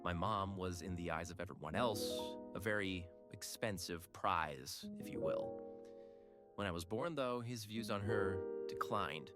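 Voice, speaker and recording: Brooding veteran pilot, deep and weary, acted by a man, loud score under. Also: a little slow, loud music behind the voice. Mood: somber. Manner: quiet, weary, sighing.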